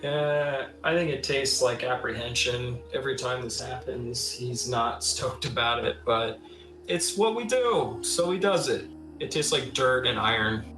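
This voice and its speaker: A man's voice: mid-range voice, expressive, measured pace. Man musing casually in an echoey room. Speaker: tabletop game player. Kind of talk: casual in-character reflection. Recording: roomy echo, faint music.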